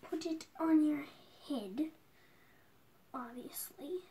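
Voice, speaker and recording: A woman's voice: high-pitched, slow pace, dark tone, a little hiss or hum. A young girl chatting casually to the camera while showing a how-to in her small bedroom, talking to herself as she goes. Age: child, around 10 to 12. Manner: chatty, unscripted, self-talking while tying a headscarf. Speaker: vlogger. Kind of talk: casual home tutorial vlog. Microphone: phone or tablet camera set across the room.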